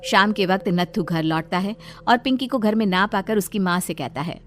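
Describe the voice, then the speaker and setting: Steady woman narrating a cartoon tale. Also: medium pitch, normal speed.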